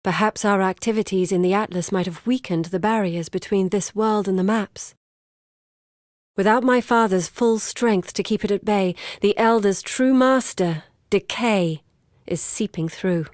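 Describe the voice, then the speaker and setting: A woman's voice: mid-range voice, measured pace, no echo, studio quality, natural voice. An actress playing an earnest, determined woman scholar in a video game, speaking in a solemn, articulate and urgent dramatic manner. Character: earnest, determined woman scholar grieving her lost father. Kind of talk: dramatic scripted game dialogue.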